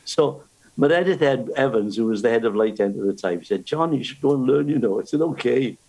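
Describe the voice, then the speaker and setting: A man's voice: mid-range voice, expressive, brisk, gravelly, a little mellow, breathy edge, low-quality recording. An older man, chatting casually and telling stories about learning the language. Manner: storytelling, with restarts. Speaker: guest. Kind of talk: casual chat.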